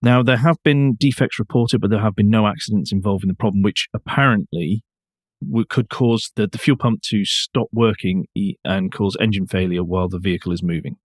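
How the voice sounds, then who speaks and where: A man with a slightly low voice discusses motoring news as a podcast co-host. His delivery is conversational, wry and blunt. He is recorded very dry, without audible room. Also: a little fast, dry recording.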